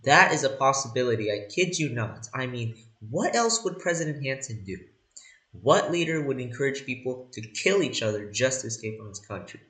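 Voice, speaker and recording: A man's voice: mid-range voice, very animated, moderate pace, a bit bright. A man playing an indignant news anchor who comments on live events in an emphatic, incredulous, conversational way, recorded in a roomy space.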